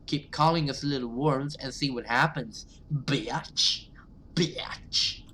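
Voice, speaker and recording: A man's voice: mid-range voice, takes it slowly, pushed and bright, a little hiss or hum. Man reading as a determined horror-game survivor. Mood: defiant. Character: urgent, protective, defiant survivor rallying a teammate. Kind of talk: horror visual novel read aloud.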